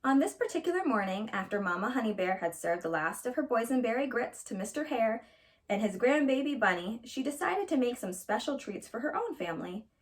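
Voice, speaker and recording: A woman reading a children's storybook aloud as a storyteller, in an expressive narrating style. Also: a little high, brisk.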